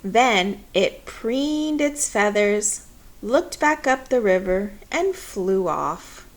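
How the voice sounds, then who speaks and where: Woman reading a kids book out loud, nice and slow, expressive, medium pitch. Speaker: picture book reader. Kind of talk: children's story read aloud.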